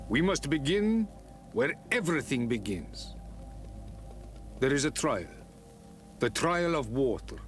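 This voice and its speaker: An actor playing a solemn, testing spiritual leader of an island people in a video game, speaking in a deliberate, ceremonious way. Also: male voice, mid-range voice. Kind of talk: video game dialogue acting.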